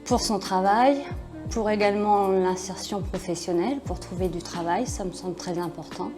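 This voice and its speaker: A woman's voice: medium pitch, normal speed, over music. A woman in her forties giving an articulate, composed interview testimony. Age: 40s.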